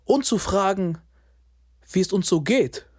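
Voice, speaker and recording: A man's voice: high-pitched, expressive, a little slow, breathy edge, heavily acted. An actor in a radio drama playing a young man at his estranged father's funeral, telling it in a bitter, wry inner monologue. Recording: spoken over loud music. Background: loud guitar music.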